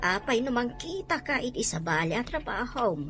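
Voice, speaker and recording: Fretful mother, animated voice. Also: a little high, normal speed, over loud music. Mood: reproachful. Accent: Filipino, Ilocano. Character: insistent, fretful mother of a tomboy daughter. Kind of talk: Ilocano radio drama acting.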